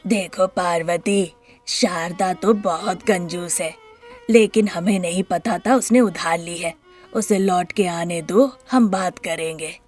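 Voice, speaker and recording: A woman voicing a stern older male head of a family in a story, speaking slowly and deliberately, with music behind. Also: on the low side, a little mellow. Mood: measured. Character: gruff older family patriarch, stern and measured.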